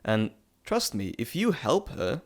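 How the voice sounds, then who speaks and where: A man voicing a playful, fond male messenger god in an intimate audio roleplay, chatty and teasing with theatrical pauses. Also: medium pitch, huge pitch swings, heavily acted. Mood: calm, reassuring.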